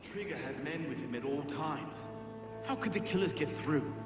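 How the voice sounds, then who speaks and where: An actor playing a chatty, earnest medieval London reeve in a video game, with a British accent and colourful old-fashioned phrasing. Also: male voice, on the high side, lively intonation, normal speed, music in the background, lightly acted. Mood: shocked, distressed.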